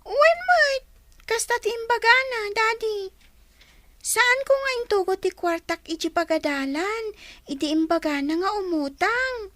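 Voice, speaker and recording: A woman's voice: very high voice, animated, measured pace, sharp tone, slight background noise, exaggerated voice. A woman voicing a defensive young schoolgirl explaining herself to her father in a radio comedy drama.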